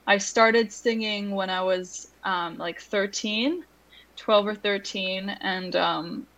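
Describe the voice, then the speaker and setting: A young woman talks as a guest in an interview, at an ordinary pitch for a woman. She speaks softly and hesitantly, often pausing on um and like. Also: steady tone, rough recording. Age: young adult. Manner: soft-spoken, hesitant, with many ums and likes. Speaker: musician guest. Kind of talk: conversational interview.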